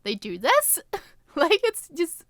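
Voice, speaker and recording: A woman's voice: high voice, huge pitch swings, slow pace, fairly bright tone, no room sound. Casual woman podcaster, dry close sound. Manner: informal, swears casually. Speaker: podcast co-host and co-writer. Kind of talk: casual chat.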